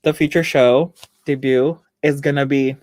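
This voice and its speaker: Eager woman, unhurried talk. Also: extremely low pitch, steady tone, a little mellow. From Philippines. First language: Filipino. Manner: earnest, enthusiastic, many fillers like "like" and "you know". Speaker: dance company member. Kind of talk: casual group chat.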